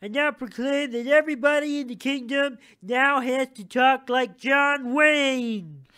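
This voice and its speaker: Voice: high-pitched, measured pace, bright, forceful tone, clear, resonant tone. An actor playing a childish, whimsical, bossy king in a comic audio sketch, flippant and slangy. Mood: pompous proclaiming. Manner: flippant, slangy royal proclamations.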